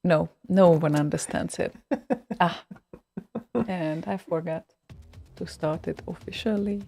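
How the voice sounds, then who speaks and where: Creaky-voiced chatty woman radio host. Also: fairly low pitch, fairly even intonation, takes it slowly, darkish tone, faint music behind. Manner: chatty, rambling with many fillers and restarts. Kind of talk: casual radio chat. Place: radio studio.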